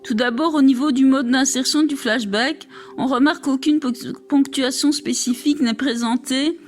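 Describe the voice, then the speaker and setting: High-pitched woman reading aloud. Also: moderate pace, soft background music. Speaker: reader of an academic text. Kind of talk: reading a scholarly text aloud.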